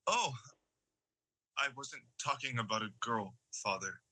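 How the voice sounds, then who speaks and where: A man performs an anxious, devout young man in an audio drama. The voice is strikingly sharp and husky, middling in pitch, with an earnest, slightly hesitant delivery. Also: expressive, measured pace, full character voice.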